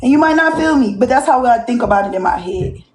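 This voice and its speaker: A woman's voice: fairly high pitch, expressive, fast. A woman on a reality show talking bluntly and profanely in rambling casual speech. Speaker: reality show cast member. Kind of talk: casual confessional chat. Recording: roomy clip with faint noise.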